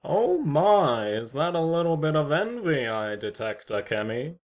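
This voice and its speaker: A man's voice: on the high side, big pitch swings, a little slow. A man playing a sly, eccentric neighbour in an amateur audio drama, formal, theatrical and unruffled. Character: eccentric, smugly courteous male neighbour, sly troublemaker.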